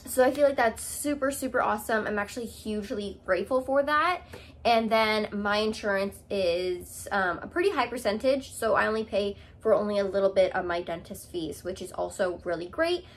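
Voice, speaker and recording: A woman's voice: mid-range voice, moderate pace. A young woman from Canada with a Canadian accent, chatting casually to her camera as a vlogger, with lots of "um"s and "like"s. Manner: chatty, with many "um"s and "like"s.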